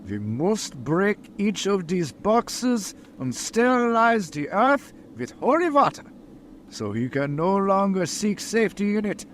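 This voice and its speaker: An actor playing a grave, resolute professor who leads vampire hunters, declaiming in a vintage radio drama style. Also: male voice, high-pitched, very animated, a little hiss or hum. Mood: resolute, urgent. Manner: declamatory, portentous, measured phrasing.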